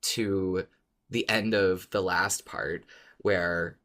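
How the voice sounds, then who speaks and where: A woman with a notably deep voice co-hosts a fan podcast. Her talk is loose and conversational, with the odd swear word. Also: steady tone, very slow, fairly bright tone. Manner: informal, swears casually. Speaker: podcast co-host. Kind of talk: casual fan discussion chat.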